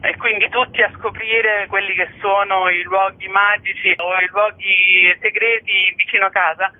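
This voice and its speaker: A woman's voice: mid-range voice, flat delivery, normal speed. Woman curator on a tinny phone line. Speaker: interview guest, festival curator. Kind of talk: conversational event presentation. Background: phone call to a radio show.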